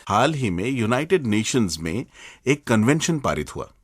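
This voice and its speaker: A man co-hosting a radio show, reading a scripted conversation in a lively, conversational way. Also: medium pitch, normal speed, slightly rough, no echo, clean studio sound. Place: radio studio.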